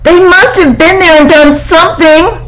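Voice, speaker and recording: A small female piglet from a children's storybook, acted out by a woman reader. The voice is pitched well up, plaintive and stubborn, pressing each point with pleading insistence. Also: steady tone, moderate pace. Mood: insistent. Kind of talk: storybook character reading.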